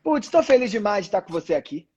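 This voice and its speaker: A man's voice: high voice, very animated, fast, muffled recording, crowd noise. A Brazilian man hosting a casual live online chat, effusive and informal, often repeating his phrases. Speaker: host. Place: online live video call.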